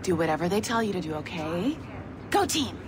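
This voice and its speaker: A peppy office boss. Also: female voice, lowish voice, big pitch swings, fairly quick, background noise. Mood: chipper. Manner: upbeat, peppy, forced cheer. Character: chipper overachieving female office boss. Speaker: game character.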